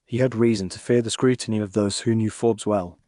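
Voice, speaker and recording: An actor with a British accent playing a reflective Victorian gentleman doctor, earnest and formal, in a dramatised story. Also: on the low side, steady tone, no room sound, studio quality, lightly acted. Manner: formal, measured literary phrasing. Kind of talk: first-person dramatised narration.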